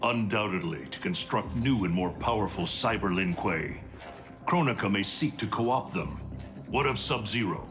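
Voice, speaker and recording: A serious, inquisitive warrior in a video game scene, acted by a man. The voice is on the low side with formal, measured phrasing. Clear music plays behind it. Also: animated, close to an everyday voice. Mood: serious, questioning. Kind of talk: cinematic video game acting.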